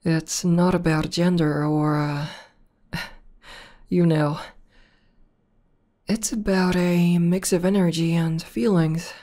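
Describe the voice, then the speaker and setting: Low, mellow tomboy demoness played by a woman. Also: steady tone, takes it slowly, pauses often. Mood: explaining gently. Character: buff tomboy demoness girlfriend, affectionate and teasing. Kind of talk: ASMR roleplay audio spoken to the listener.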